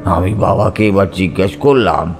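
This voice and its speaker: A man's voice: low voice, moderate pace. A man reading a scripted audio story as its narrator. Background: soft background music.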